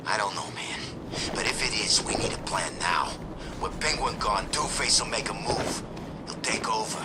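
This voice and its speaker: An actor playing a worried, conspiratorial street thug in a video game, speaking warily. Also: male voice, lowish voice, expressive, normal speed, heavy background noise, lightly acted. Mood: wary.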